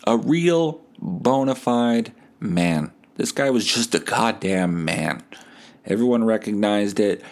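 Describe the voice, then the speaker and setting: A man hosting a podcast, telling stories in a casual, comedic, animated way with some swearing. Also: on the low side. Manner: animated, acts out quoted dialogue; profane. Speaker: podcast host.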